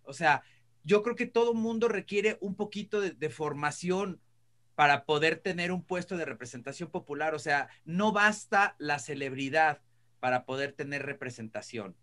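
A middle-aged Mexican man giving casual opinions as a talk show panelist, halting and rephrasing as he goes. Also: fairly high pitch, expressive, fairly bright tone. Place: indoor room, on a video call. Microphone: video call.

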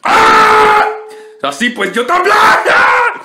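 A man's voice: very high-pitched, huge pitch swings, very slow, raised voice, heavy background noise, exaggerated voice. A man voicing a goofy, crude, exclaiming hero in an amateur comic anime dub. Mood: excited.

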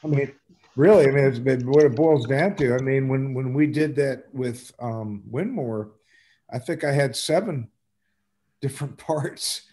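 Rambling older American man, halting delivery. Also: mid-range voice, dark tone, slightly rough. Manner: rambling, halting, self-deprecating. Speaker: community theater actor. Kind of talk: casual chat at an online talkback. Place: indoors at home on a video call. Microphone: video call microphone.

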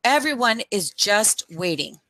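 Storytime reader: middle-aged woman, bright forward tone, unhurried deliberate phrasing, headset mic. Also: medium pitch, very animated, a little hiss or hum. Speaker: storyteller reading to children. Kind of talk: read-aloud picture book storytime. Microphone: headset microphone.

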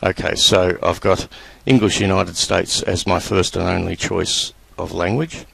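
A man presenting a screen-recorded computer tutorial, talking in a relaxed, halting way with many fillers. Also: sits low, gravelly, husky, dry recording, poor audio.

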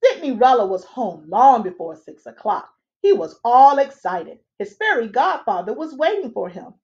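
A young woman reads a children's storybook aloud with lively, animated expression. Her voice sits high and swings widely. She is recorded on a webcam at home, and the sound is dull and lo-fi. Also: normal speed. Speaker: read-aloud reader. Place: room at home, indoors. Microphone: webcam at a desk.

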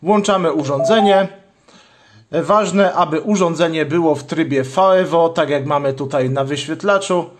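Higher-voiced man giving a methodical tutorial. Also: normal speed. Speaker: channel host, product demonstrator. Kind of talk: step-by-step hands-on tutorial.

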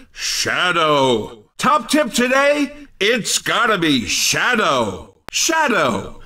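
An actor playing an excited, jokey racing commentator in a video game, with punchy, showy delivery. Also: male voice, sits high, far from the mic, full character voice. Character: hyped, jokey male arcade racing commentator. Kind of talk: excited sports commentary voice lines.

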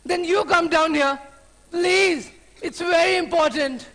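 A man's voice: extremely high pitch, measured pace, sharp tone, exaggerated voice. A man playing a cheeky young suitor who pleads and serenades in a sing-song, wheedling way. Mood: pleading. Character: cheeky young male suitor, playful, hot-tempered.

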